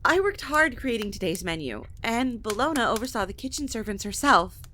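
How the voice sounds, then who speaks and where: A woman playing a gracious, proud vampire lady, polite and expressive, in an audio drama. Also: fairly high pitch, brisk, slight background noise. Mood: pleased. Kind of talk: dramatic audio roleplay acting.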